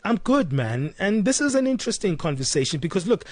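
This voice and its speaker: A man from Africa hosting a radio talk show in a conversational way, pausing often with uhs. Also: high voice, very animated, no echo. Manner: hesitant, frequent uhs. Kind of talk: conversational interview introduction. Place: radio studio.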